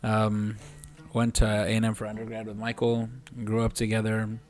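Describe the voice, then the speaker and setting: A man's voice: fairly low pitch, moderate pace, soft background music. A man in his twenties, a podcast guest and physical therapist, speaking earnestly and steadily. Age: 20s. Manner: earnest and steady, with occasional restarts. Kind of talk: casual chat.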